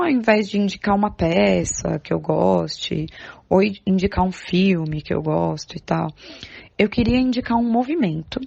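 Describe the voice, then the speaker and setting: Brazilian woman with a creaky, mellow voice. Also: mid-range voice, no room sound. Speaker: podcast guest, theatre artist and art educator. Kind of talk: informal spoken recommendation.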